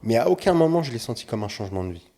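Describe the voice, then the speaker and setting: A man talking as a podcast guest, animated and explaining his views with examples. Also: mid-range voice, very fast. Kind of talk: casual podcast chat.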